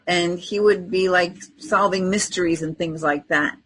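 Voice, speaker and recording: Husky-voiced woman chatting casually. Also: fairly low pitch, steady tone, measured pace, a bit bright, poor audio. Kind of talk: casual chat, telling personal anecdotes.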